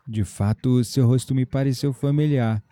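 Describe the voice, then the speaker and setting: Guy acting as charming polite brazilian young man next door, really dark soft-edged voice, audio drama. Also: mid-range voice, fairly even intonation, normal speed, no echo, close to an everyday voice. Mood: courteous, modest.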